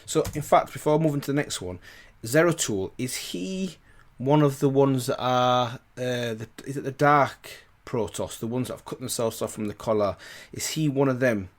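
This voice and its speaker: A man's voice: medium pitch, normal speed. A man giving casual reaction commentary as host, thinking aloud in a hesitant way.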